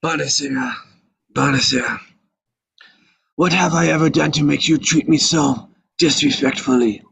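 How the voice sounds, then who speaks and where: A heavily husky, creaking old mafia godfather. He weighs every word with slow reproach and measured menace, as in a crime film script read aloud. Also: on the high side, fairly even intonation, fairly bright tone, heavily acted, rough recording. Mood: wounded authority. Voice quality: husky, gravelly rasp. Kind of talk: live table read of a film screenplay.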